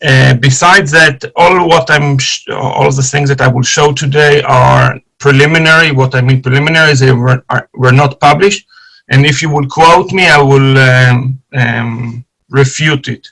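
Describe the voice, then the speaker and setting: A man's voice: medium pitch, gravelly, rough recording. Israeli man giving an online lecture. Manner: enthusiastic, fast when excited, frequent fillers and restarts. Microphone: video call.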